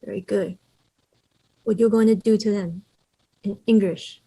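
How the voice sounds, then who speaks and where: A woman reading the part of a stern, ailing mother quietly drilling her daughter. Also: mid-range voice, steady tone, a little slow, husky, poor audio. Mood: coaching. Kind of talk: table read of a drama script.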